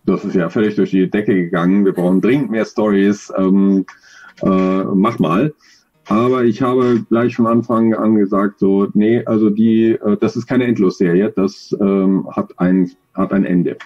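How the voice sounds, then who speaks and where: A middle-aged man with a mellow, rough-edged voice answers interview questions thoughtfully, feeling his way to the right words. He sits close to the webcam on a video call, and the sound is rather dull. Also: lowish voice, steady tone, unhurried. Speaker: interview guest, audio drama author.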